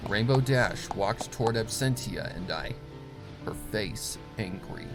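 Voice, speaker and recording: A man reading dramatic scripted horror fiction as narrator, in a solemn, deliberate manner. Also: lowish voice, expressive. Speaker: story narrator. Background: music.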